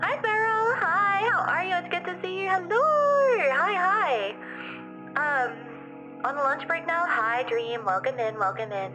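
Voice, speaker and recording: A woman's voice: very high voice, expressive, unhurried, loud music behind the voice, poor audio. A young woman with a mild city Australian accent, chatting casually and rambling with lots of fillers as she answers her livestream viewers. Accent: softened city Australian. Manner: rambling, giggly, many fillers. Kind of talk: casual chat reading viewer comments.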